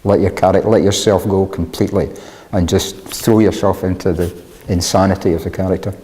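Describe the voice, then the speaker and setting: Interviewee: man, lowish mellow voice, explanatory conversational delivery, reverberant hall. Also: steady tone, a little fast.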